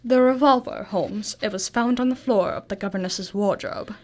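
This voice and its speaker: A woman's voice: medium pitch, moderate pace, a little mellow, natural voice. A woman acting a genial, loyal doctor and detective's companion in a radio drama, polite and old-fashioned.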